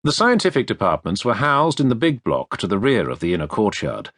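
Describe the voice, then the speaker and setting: Expressive male audiobook narrator, very dry sound. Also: fairly low pitch, brisk, no room sound. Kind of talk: scripted nonfiction history narration. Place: dry recording studio. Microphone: close studio microphone.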